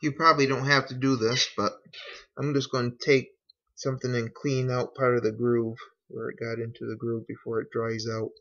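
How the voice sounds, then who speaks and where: A man giving a casual how-to narration in an unhurried, folksy way, talking through each step as a hobbyist. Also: mid-range voice, flat delivery, fairly bright tone. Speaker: hobbyist demonstrating a repair. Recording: dull, lo-fi home recording.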